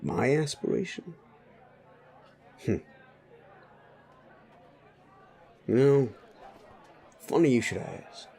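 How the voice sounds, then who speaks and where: A man's voice: medium pitch, expressive, takes it slowly, vocal fry, warm tone, a faint crowd. A man playing a sleazy, bullying, foul-mouthed werewolf boss in a roleplay audio drama, blunt and needling. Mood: gruffly conceding. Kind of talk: immersive roleplay audio drama addressed to listener.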